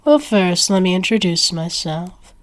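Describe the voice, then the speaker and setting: A woman's voice: on the low side, lively intonation, measured pace. A woman voicing a flirty, smug and protective female werewolf in an ASMR roleplay, talking in a teasing, taunting way.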